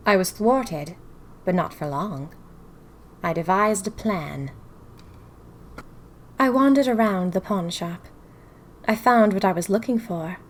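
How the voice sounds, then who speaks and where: Casting: female actor as dramatic, curious young woman of the twenties telling her diary, expressive audio-drama storytelling. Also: medium pitch. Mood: scheming, determined. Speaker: audio fiction narrator. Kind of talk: first-person diary narration in a fiction podcast.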